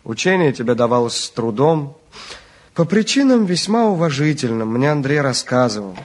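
A man's voice: medium pitch, very animated, moderate pace. A man acting a smug, privileged young man in a Soviet radio drama, ironic and condescending. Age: young adult.

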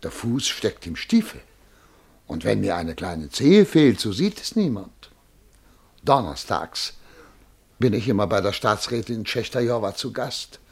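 Husky, flustered, pompous petty official. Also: male voice, mid-range voice, darkish tone. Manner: pompous, halting, pleading. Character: flustered, indignant, self-important male petty official. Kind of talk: German radio play acting.

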